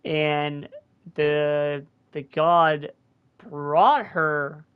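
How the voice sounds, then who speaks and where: A man's voice: fairly high pitch, fairly even intonation, very slow, clear, resonant tone, phone-quality sound. Man recapping a game, voice tiring. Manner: thinking aloud, recapping the story. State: voice starting to wear out. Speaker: gaming channel host. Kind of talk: casual gameplay commentary.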